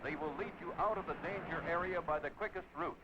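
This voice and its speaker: A man reading an official emergency radio broadcast in a crisp, commanding announcer style. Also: on the high side, fast talker. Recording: dull old radio broadcast with faint hiss.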